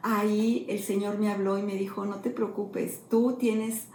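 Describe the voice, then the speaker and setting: A middle-aged woman pastor, telling a personal testimony earnestly and slowly. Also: medium pitch, little pitch movement, a little mellow, a little hiss or hum. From Mexico. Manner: earnest storytelling, slow and emotional. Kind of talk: sermon-style testimony. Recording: echoey room, faint ambience.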